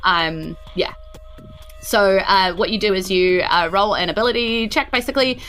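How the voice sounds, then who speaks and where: A woman narrating a tabletop game as game master, explaining haltingly and acting out small effects. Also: mid-range voice, fairly bright tone.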